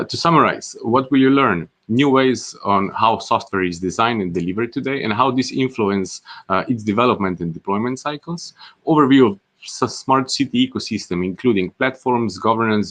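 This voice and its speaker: A man's voice: on the low side, a bit bright. A man moderating an online course webinar, speaking with frequent "uh" fillers and restarts as he introduces the project and speakers. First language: not Greek. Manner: hesitant, many "uh" fillers and restarts.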